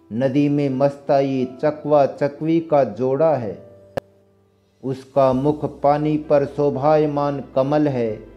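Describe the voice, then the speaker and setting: Guy narrating old verses real slow and solemn, kinda high voice, music playing behind. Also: highs cut off. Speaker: narrator reciting Sanskrit verses and explaining them. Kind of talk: scripted narration, verse recitation with prose explanation. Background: instrumental music.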